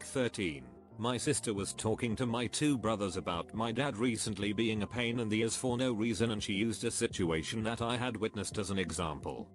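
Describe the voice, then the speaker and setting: A man reading anecdotes aloud as a scripted narrator, at a steady, even pace. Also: fairly low pitch. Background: faint background music.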